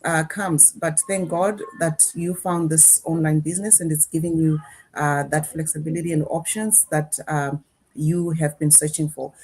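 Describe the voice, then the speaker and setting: A woman with a slightly low voice hosts a live online conversation. She speaks in a casual, conversational way, addressing her guests and guiding the discussion between them. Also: level tone, normal speed, a little mellow, a little hiss or hum. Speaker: host of a live panel discussion.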